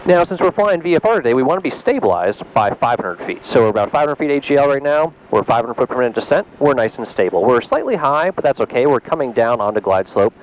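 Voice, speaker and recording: A man instructs quickly with a lively, rising and falling voice, walking a student through each step of the landing. He talks through a headset boom mic in a small plane cockpit, with a faint engine hum behind him. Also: rough recording. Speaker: flight instructor. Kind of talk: in-flight instruction. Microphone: aviation headset boom mic.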